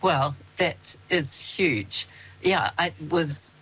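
A woman hosting a show, asking an author unhurried questions with light laughs. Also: sits low, fairly bright tone, husky, rough recording. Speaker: show host. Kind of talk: interview questions.

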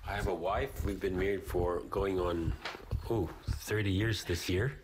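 A man in his fifties from the Philippines, answering interview questions in a relaxed, conversational way with some hesitations. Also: sits low, a little slow, background noise. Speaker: interviewee, residential manager.

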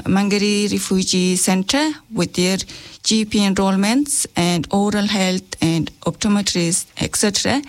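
Earnest woman guest from Afghanistan. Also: medium pitch. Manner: earnest, slightly halting. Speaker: health navigator guest. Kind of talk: radio interview answers.

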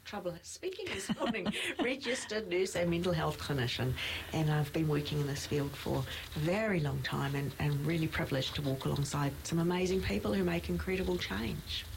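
Middle-aged woman podcast co-host, low and gravelly, explaining in a relaxed chatty way, with faint hiss behind. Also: fairly quick. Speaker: podcast co-host, registered nurse. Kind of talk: casual podcast chat.

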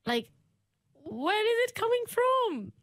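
A young woman in her twenties leads a relaxed interview chat. Her pitch jumps around with animated, exclaiming reactions. The sound is dry and close. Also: slow pace, dry recording. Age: 20s.

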